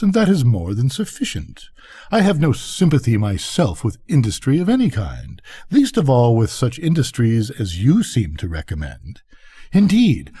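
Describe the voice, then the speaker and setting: Storybook rocket, acted by a man: pompous, conceited, lively intonation, rough-edged voice. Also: medium pitch. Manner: haughty, self-important declaiming. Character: pompous, conceited male firework rocket, haughty and vain. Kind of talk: storybook character reading.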